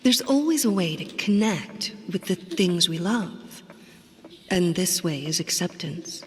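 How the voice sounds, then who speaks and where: A woman's voice: on the low side, expressive, a little slow, slight background noise. Haunted mother in echoing reverb. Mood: anguished, brooding. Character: anguished, haunted mother longing for her young daughter. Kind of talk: dramatic video game voice acting. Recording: long hall-like reverb.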